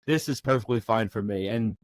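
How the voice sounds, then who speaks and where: A man's voice: on the low side, a little fast, no echo, clean studio sound. A young man hosting a podcast, talking loosely with lots of fillers and easy laughs. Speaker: podcast host; active-duty service member. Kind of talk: casual interview chat.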